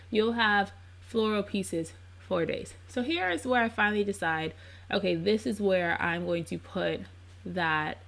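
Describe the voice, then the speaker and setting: A woman's voice: medium pitch, vocal fry. A woman narrating a crafting video in a casual, chatty voice-over. She sounds congested and under the weather. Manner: chatty and relaxed, with frequent ums. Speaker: scrapbook crafter narrating her process video.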